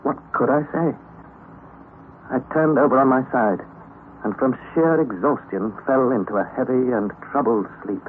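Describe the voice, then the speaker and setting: A man's voice: mid-range voice, moderate pace, natural voice. A male actor playing a young adventurer who narrates his own journey in an old radio drama, in an earnest, dramatic manner. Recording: old lo-fi radio broadcast, faint hiss.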